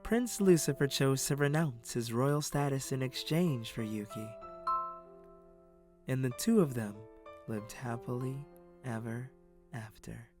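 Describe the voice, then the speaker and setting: A man playing a solemn storyteller, giving measured, dramatic storybook narration for a fairy-tale stage play. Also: medium pitch, over music, natural voice. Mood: solemn, formal.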